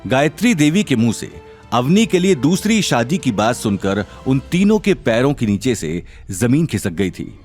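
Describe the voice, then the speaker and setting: A male narrator delivers a scripted family drama with theatrical storytelling. His mid-pitched voice moves expressively, with background music running underneath. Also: sing-song, moderate pace, darkish tone.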